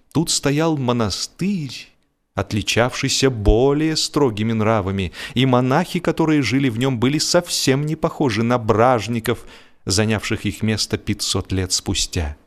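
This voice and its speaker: Male narrator with measured, theatrical delivery. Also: medium pitch. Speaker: audiobook narrator. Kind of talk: expressive scripted narration of a humorous literary story.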